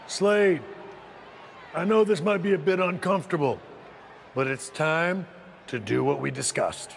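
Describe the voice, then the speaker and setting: Businesslike wrestling boss, creaky, slightly high voice, game cutscene, faint ambient noise. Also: male voice, measured pace. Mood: businesslike, firm. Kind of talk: video game cutscene acting.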